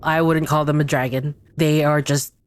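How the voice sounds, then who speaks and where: A woman in a casual chat as a podcast guest on tabletop gaming, speaking haltingly as she thinks aloud. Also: low voice, flat delivery, slight background noise.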